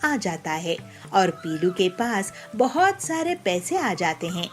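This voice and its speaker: A woman's voice: fairly low pitch, big pitch swings, slow, music in the background. A woman reading scripted narration for children's cartoon stories as the storyteller.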